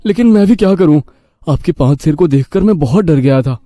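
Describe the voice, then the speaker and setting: An earnest young village father, acted by a man, with a mellow, slightly high voice. He is polite and pleading, quick to fear, and speaks dramatically in a dry storybook recording. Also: animated, dry recording. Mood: apologetic.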